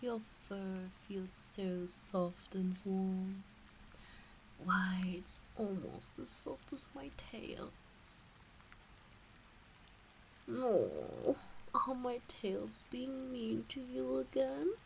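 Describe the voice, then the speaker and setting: Woman as kitsune witch mistress for ASMR roleplay: motherly, teasing, very slow whispery cooing, creaky edges. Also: mid-range voice, animated, a little hiss or hum, exaggerated voice, poor audio. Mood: doting, playful.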